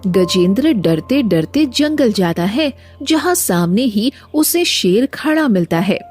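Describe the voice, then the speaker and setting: A woman's voice: medium pitch, sing-song, slow pace, a little mellow, breathy edge. A woman reading scripted narration for a cartoon moral story. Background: background music.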